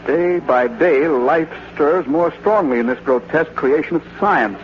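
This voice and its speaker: A man's voice: fairly high pitch, unhurried, lightly acted. An actor playing a skeptical male newspaperman, punchy and declamatory, in a vintage radio drama. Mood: dramatic. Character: skeptical male newspaperman, plain-spoken colleague. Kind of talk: 1940s-50s radio drama acting. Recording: dull, lightly noisy vintage radio recording.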